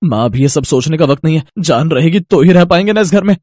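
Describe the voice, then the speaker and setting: A man's voice: a little high, animated, quick pace, a little mellow, dry recording. An actor playing a weary but reassuring family man in a cartoon story, explaining with mild exasperation. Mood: worried, firm. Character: weary, reassuring husband and son. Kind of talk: cartoon moral story acting.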